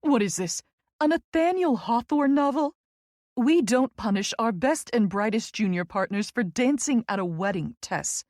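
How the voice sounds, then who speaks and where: A woman performs a commanding female senior boss from an audiobook novel. She is smooth-voiced, dramatic and fiercely protective, speaking with strong emphasis in a dry, close recording. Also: a little high, animated, normal speed, darkish tone, dry recording, lightly acted. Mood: indignant. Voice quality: smooth alto.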